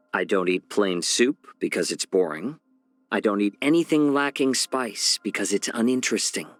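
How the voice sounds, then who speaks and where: Game role: genial fox-man chef and physician, smooth, slightly low, wry and measured, dry close sound. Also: no echo, heavily acted. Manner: measured, wry, gently teasing. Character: genial, sly fox-man healer and chef, soft-spoken advisor. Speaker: video game character. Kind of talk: scripted game character voice lines.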